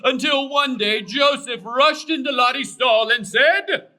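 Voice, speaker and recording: Slow, high-voiced pompous donkey puppet. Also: male voice, pushed and bright, heavily acted. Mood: storytelling. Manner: pompous, deliberate, dramatic. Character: grandiose weary old-fashioned gentleman donkey storyteller puppet. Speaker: puppet show storyteller. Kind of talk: theatrical children's storytelling.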